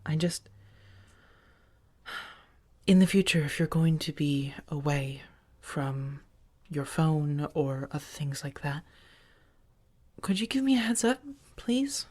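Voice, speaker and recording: A woman playing a protective, affectionate female vampire roommate in a soft-spoken ASMR roleplay, breathy and often near a whisper, with halting, earnest delivery. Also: sits low, lively intonation, heavily acted. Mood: tender, pleading.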